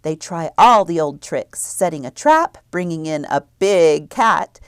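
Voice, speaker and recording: A woman library presenter reading scripted announcements and children's book reviews, clearly enunciated. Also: low-pitched, animated, measured pace, no echo, studio quality.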